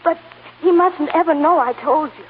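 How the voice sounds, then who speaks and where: Actress as an anxious, high-voiced film star. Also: female voice, normal speed, natural voice. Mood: anxious, hopeful. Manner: tender, hesitant, fretful. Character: anxious, devoted young woman film star. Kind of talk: 1940s radio drama acting. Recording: narrow-band noisy 1940s radio recording.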